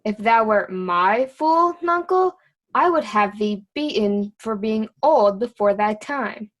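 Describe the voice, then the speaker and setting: A woman's voice: mid-range voice, animated, slow, fairly bright tone. A woman playing a cheeky, riddling boy jester with a teasing, sing-song delivery in Shakespeare. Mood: wry. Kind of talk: Shakespearean reader's theatre acting.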